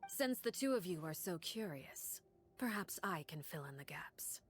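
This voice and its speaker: A woman voicing a composed, commanding female head of an orphan house in a video game, calm and measured. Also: fairly low pitch, a little hiss or hum, lightly acted. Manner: calm, measured, quietly intimidating. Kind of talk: game voice acting.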